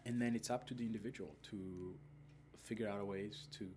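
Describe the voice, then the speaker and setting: A man in his thirties from Rwanda with a very low voice talks through interview answers slowly and earnestly, stopping often on fillers and restarts. A clear hiss of room noise sits under his voice. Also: steady tone, a little mellow. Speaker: filmmaker, interviewee. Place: indoors, seated in front of a film poster.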